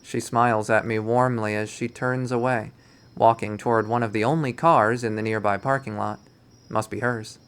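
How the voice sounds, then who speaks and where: A man reads a visual novel aloud as its narrator. His delivery is theatrical and expressive, playing the inner monologue as first-person drama. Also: fairly low pitch, normal speed, clean tone.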